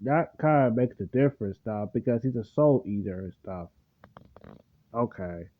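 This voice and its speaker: A man's voice: lowish voice, lively intonation, moderate pace. A man giving casual, off-the-cuff reaction commentary as a video host, in a rambling, repetitive, slangy way. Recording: dull lo-fi mic, faint background noise.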